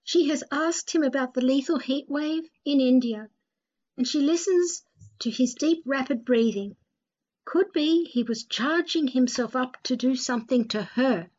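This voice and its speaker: Casting: female narrator, high-pitched voice, scripted story reading, somewhat muffled lo-fi audio. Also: a little slow, darkish tone. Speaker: narrator and presenter. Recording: dull, lo-fi voice recording.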